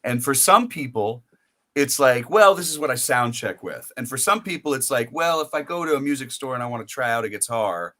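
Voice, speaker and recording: A man's voice: medium pitch, animated, fairly quick, sharp tone. An American man hosting a casual interview, telling rambling stories full of restarts. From United States. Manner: rambling, storytelling with many restarts. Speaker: podcast host.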